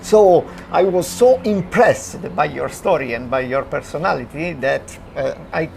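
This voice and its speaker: Gravelly Italian-native male host. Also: a little high, animated, darkish tone. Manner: halting, laughs often, restarts. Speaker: interviewer, host. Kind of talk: casual interview. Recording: faint ambient noise.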